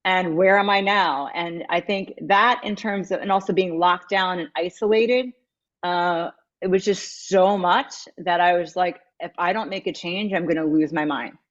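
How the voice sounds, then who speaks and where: A woman in her fifties speaks in a lower register, candid and reflective, her thoughts wandering before they land. Her voice arrives over a video call, with dull, muffled lo-fi sound. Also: moderate pace. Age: 50s. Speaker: guest, matchmaker and dating coach. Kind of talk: candid interview conversation.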